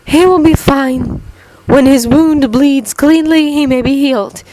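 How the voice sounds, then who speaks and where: A regal goblin wise woman of a fantasy story, as a narrator puts her on. Her voice floats high and soft. She speaks slowly and gravely, with serene authority. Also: background noise. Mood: calm, solemn. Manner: soft, slow, murmuring. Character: regal female goblin seer, serene and solemn.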